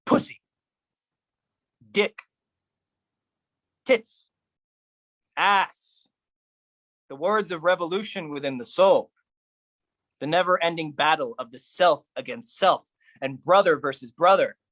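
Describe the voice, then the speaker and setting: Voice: slow, pauses often, a bit bright, no room sound, low-quality recording. A solo performer delivering a dramatic spoken-word monologue in an intense, theatrical manner. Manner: theatrical, intense, swinging between low musing and raised outbursts.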